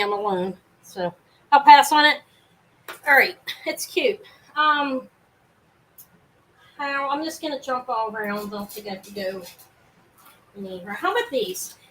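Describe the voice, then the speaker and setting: Brief: woman, live-sale host, loud carrying voice, rambly and self-interrupting, home setup. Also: medium pitch, rough recording. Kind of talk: casual live sale chat. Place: home apartment, fan running.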